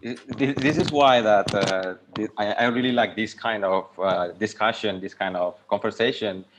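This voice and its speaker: A man's voice: on the low side, normal speed, noisy background. A man moderating an art discussion in a conversational way, often repeating and rephrasing as he works toward his point.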